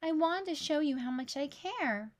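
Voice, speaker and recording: A woman's voice: high voice, animated, normal speed, voice pushed up. A woman voicing a flustered, caring schoolteacher in a storybook, fretful and earnest. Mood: dismayed.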